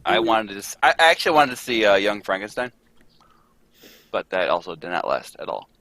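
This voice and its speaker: A man's voice: lowish voice, very animated, fairly quick, bright, forceful tone. A man who reads in an old radio script stream, chatting casually with playful, self-mocking quips. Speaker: cast member of a radio script reading. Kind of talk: casual chat.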